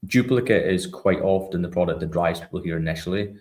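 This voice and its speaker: Very low-voiced man explaining a product. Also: fairly quick, a little mellow. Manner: informal, explanatory, checks in with tag questions. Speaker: software product expert, interview guest. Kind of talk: conversational product walkthrough.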